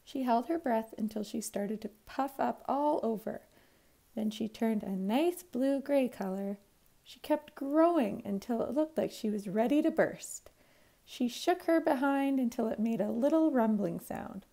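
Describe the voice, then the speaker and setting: A woman reads a picture book aloud for young children. Her voice sits a little high yet has a soft, mellow tone with noticeable creak. She phrases the story clearly and expressively, like a teacher at story time. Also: lively intonation, unhurried. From Canada. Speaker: primary school teacher reading aloud.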